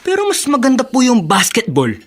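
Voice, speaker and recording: A man's voice: very high-pitched, wildly swooping pitch, a little fast, a little hiss or hum, heavily acted. A man playing a cocky, lustful young male student in a Filipino radio drama, brash and slangy. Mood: persuading, cheeky. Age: young adult.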